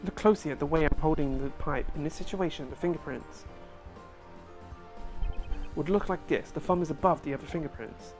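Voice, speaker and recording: A man's voice: on the high side, a little fast, long pauses, darkish tone, faint music behind, lightly acted. A man voicing a confident young male defense lawyer in a fan dub of a courtroom game, building his case in a methodical, pointed way.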